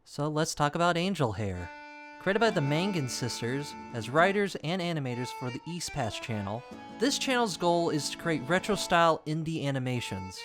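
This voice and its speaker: Young male video essay host, fairly high animated voice, wry casual asides, music bed underneath. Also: moderate pace. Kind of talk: scripted narration with casual asides.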